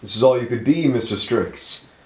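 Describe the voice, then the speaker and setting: A man playing a cold, sinister figure who questions a visitor in formal, measured speech that slips into rhyme, recorded in a roomy space. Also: medium pitch, animated, natural voice. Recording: roomy room, faint noise.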